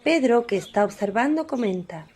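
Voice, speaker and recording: A woman's voice: on the high side, animated, normal speed, darkish tone. A woman narrating a religious radio drama in a composed, scripted storytelling style.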